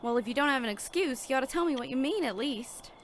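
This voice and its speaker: A woman's voice: sits high, expressive, quick pace, a little hiss or hum. A woman playing an earnest, naive young sheriff's deputy, hesitant and dutiful, in a Western audio drama.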